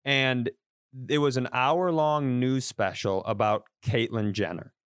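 A man tells a story in casual podcast chat, animated and quick to swear, often breaking off and restarting his sentences. His voice sits mid-pitch and sounds dry and close, with no room around it. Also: clean tone, no echo. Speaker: comedian podcast host.

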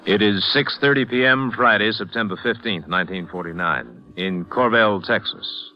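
A man's voice: fairly low pitch, moderate pace, poor audio. A man giving terse, formal, dramatic narration in the style of a 1950s radio drama. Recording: vintage radio broadcast with music.

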